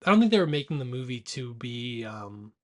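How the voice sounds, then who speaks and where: A man with a medium-pitched voice talking as a podcast co-host. His delivery is informal and rambling, and he often rewords a thought partway through. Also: big pitch swings, a little fast. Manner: informal, rambling, self-correcting. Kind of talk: casual movie discussion.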